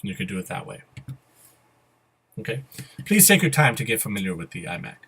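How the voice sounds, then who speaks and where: A man with a slightly husky voice presenting a step-by-step software tutorial in a casual, methodical way. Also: on the low side, big pitch swings, fairly quick. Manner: methodical, spelling out each step. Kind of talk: casual step-by-step screencast instruction. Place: voice-over to a computer screen recording.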